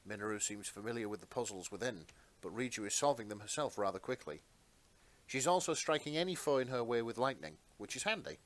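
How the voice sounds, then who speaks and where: A man's voice: mid-range voice, animated, a little fast. A man narrating a piece of fan fiction as a dramatic story reading.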